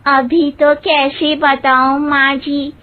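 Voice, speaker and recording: Woman acting as naive young village bride, high-pitched, very slow, cheerful, earnest, cartoon, phone-like sound. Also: little pitch movement. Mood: earnest, innocent. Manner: innocent, literal-minded, earnest. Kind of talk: Hindi moral-story cartoon dialogue. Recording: muffled, narrow phone-like sound.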